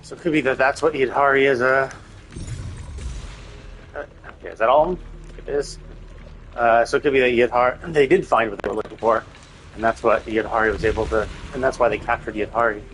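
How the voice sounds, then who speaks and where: A man's voice: mid-range voice, steady tone, a little fast, long pauses, a bit bright, a little hiss or hum, rough recording. A man streaming a game with casual, rambling commentary and some swearing, explaining things as he thinks aloud. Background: game music.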